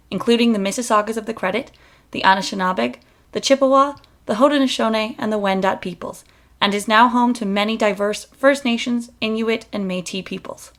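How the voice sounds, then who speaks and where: A woman's voice: medium pitch, fairly bright tone. A woman reading a scripted introduction in a formal, earnest manner. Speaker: company representative giving introduction. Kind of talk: scripted announcement, read aloud.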